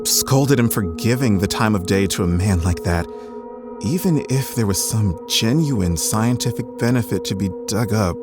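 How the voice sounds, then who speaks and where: An actor playing a gruff, stubborn paleontologist who speaks wearily and sardonically, quickening when excited. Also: male voice, on the low side, over music, lightly acted. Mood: bitter, regretful. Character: gruff middle-aged male paleontologist, bitter and stubborn. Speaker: doctor running a research center. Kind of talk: audio drama acting.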